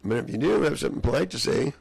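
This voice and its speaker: A hoarse man in a rambling monologue, drifting between half-finished sentences and mock-courtroom phrasing. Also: medium pitch, sing-song, rapid-fire, a little mellow, dry recording.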